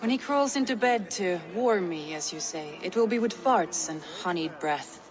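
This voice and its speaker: An actress playing a candid young Viking wife with a wry, frank manner in video game dialogue. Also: female voice, on the low side, expressive, moderate pace, close to an everyday voice, poor audio. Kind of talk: dramatic game cutscene dialogue. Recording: busy crowd and music behind.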